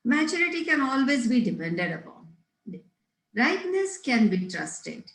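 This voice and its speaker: A woman's voice: high voice, very animated, unhurried, exaggerated voice. A woman reading the part of a prim, moralizing middle-aged governess with emphatic, preachy delivery, in a roomy-sounding space. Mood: coyly lecturing. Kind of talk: amateur table read of a stage comedy. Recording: roomy echo.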